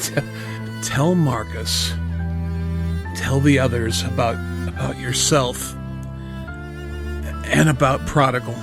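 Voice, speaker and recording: An actor playing a mortally wounded man in an audio drama, weak and gasping, raspy, halting, with dry wry humour. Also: medium pitch, steady tone, music in the background. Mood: weak, pleading. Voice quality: raspy, gravelly.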